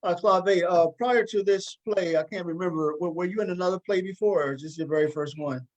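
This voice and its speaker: A man's voice: high voice, fairly quick, dull audio. A man hosting a casual interview, often doubling up words and phrases as he feels his way through questions. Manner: hesitant, repeats words and phrases. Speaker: talk show host interviewing a play's cast.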